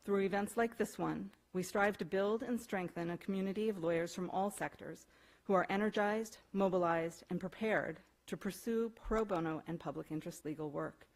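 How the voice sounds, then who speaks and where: A woman introducing the speakers at an event, reading prepared remarks in a brisk, formal way. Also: medium pitch. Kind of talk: scripted introduction. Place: event room before an audience.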